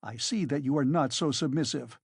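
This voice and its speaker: A reader voicing a pompous, reproachful middle-aged man who speaks smoothly and formally. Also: medium pitch, moderate pace, dry recording, studio quality.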